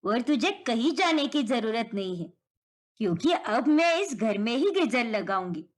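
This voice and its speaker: A woman's voice: a little high, big pitch swings, a little slow, pitched below the performer's own voice. A woman voicing a kindly, remorseful village mother-in-law in a moral story. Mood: apologetic, kind.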